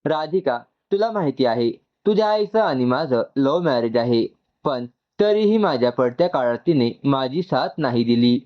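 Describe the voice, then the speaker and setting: Man reading as downhearted father in his fifties, tender, storybook dialogue, medium pitch, lo-fi sound. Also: animated, normal speed, lightly acted. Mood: sorrowful.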